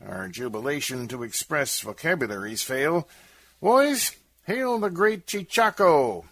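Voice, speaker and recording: A man plays a scheming frontier deacon from comic storytelling verse, orating grandly. The pitch is lifted high and swoops dramatically. His pompous proclamations come with a slightly husky edge. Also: animated, measured pace, fairly bright tone, no echo, exaggerated voice. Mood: grandly proclaiming.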